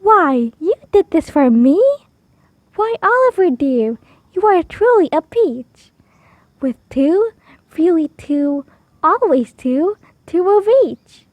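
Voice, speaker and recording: A very high, prim lady from a children's storybook, acted out by a woman reader. She is fussy and outspoken, stressing her rhyming words emphatically. The sound is dry. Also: very animated, slow, darkish tone, no room sound, dull audio, full character voice. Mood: delighted.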